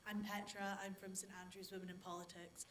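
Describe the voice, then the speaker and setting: A woman's voice: medium pitch, flat delivery, a little fast, fairly bright tone, slight background noise. A woman in the audience asking a question, chatty and apologetic.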